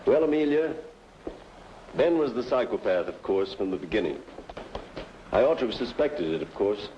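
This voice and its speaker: An actor playing a genial, self-assured small-town doctor in an old-time radio drama, with a chatty clinical manner. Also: male voice, on the low side, expressive, moderate pace, lightly acted. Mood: explanatory. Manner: clinical, chatty, slightly florid. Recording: dull, faintly noisy old radio recording.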